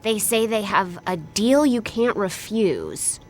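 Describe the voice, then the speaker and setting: A woman's voice: mid-range voice, expressive, slow, soft background music. A woman playing a ship's exasperated but deferential first mate, wryly sarcastic in an audio comedy. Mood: dutiful, wry. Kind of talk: audio drama comedy acting.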